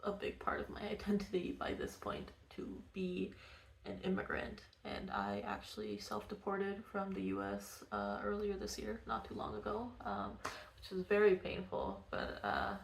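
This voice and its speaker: Young woman, soft-spoken, rambling, candid, vocal fry, reverberant room. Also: medium pitch, animated, normal speed, slight background noise. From Venezuela. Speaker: vlogger, cartoonist. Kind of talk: casual personal vlog. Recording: echoey room.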